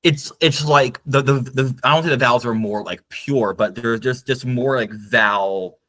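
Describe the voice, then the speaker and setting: A man's voice: mid-range voice, moderate pace, fairly bright tone. A man co-hosting a podcast in casual chat, hesitant and full of "um" and "like". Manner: hesitant, lots of um and like fillers. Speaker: podcast co-host.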